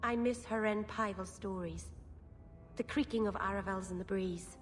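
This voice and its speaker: A woman's voice: moderate pace, soft background music, natural voice. Woman acting a naive, wide-eyed elf girl. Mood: wistful, friendly. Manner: guileless, wondering, chatty. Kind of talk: cinematic fantasy game acting. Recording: faint rumbling noise behind.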